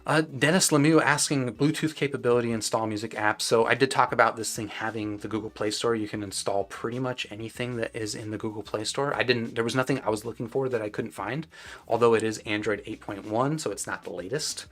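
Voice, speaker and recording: A man's voice: medium pitch, brisk, a bit bright. A man hosting a casual live stream, answering viewers in a conversational, hedging and self-deprecating way. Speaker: host of a live-streamed audio gear review. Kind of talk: casual livestream chat, reading and answering viewer questions.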